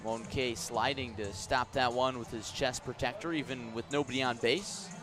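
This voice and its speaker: A man calls a baseball game live for radio from an outdoor ballpark. His voice sits at an average pitch, and he delivers measured, detailed commentary that lifts as each pitch arrives. Music plays in the background. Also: fairly even intonation. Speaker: baseball play-by-play radio announcer.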